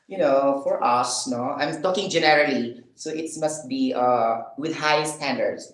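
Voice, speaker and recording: A man's voice: medium pitch, reverberant, rough recording. A man chatting casually in a vlog while he eats, rambling with fillers, asking himself questions and laughing. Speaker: vlogger.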